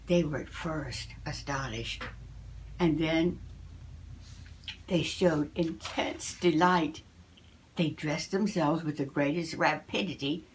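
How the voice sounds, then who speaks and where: An older woman reading a fairy tale aloud as a storyteller, in a measured, deliberate way. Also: lowish voice, rough recording.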